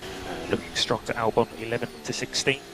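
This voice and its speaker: Over a very noisy team radio with a loud vehicle hum comes a low-pitched man. He is a race engineer, reporting gaps and settings in terse, clipped, procedural phrases. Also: fairly quick. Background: loud hum and vehicle noise.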